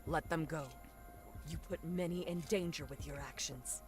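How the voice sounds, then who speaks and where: A woman's voice: low-pitched, normal speed, lightly acted. A woman playing a stern, commanding rival in a visual novel, speaking coldly and formally. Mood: stern threat. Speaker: game character voice actor. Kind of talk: dramatic visual novel voice acting. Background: music.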